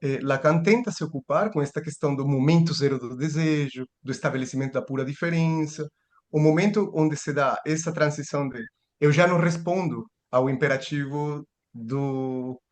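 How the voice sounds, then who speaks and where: A man's voice: a little high, moderate pace. A man giving a seminar lecture, thinking aloud with restarts and rhetorical questions.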